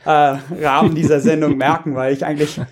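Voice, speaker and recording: A man's voice: on the high side, little pitch movement, fairly quick. A man, a researcher, talking casually as a podcast guest, hesitant, with many fillers and restarts. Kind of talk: casual interview talk.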